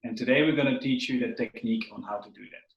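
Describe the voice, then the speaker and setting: A man's voice: mid-range voice, fast, creaky, dull audio, a little hiss or hum. A man whose first language is Dutch teaches children over a video call in a friendly way, often repeating words as he searches for them. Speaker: workshop instructor. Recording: roomy echo.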